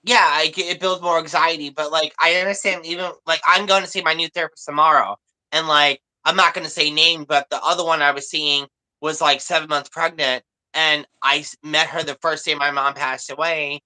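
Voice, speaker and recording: Woman, bright sharp voice, candid and emphatic, long run-on talk. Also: low-pitched, steady tone, brisk, poor audio. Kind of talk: casual chat.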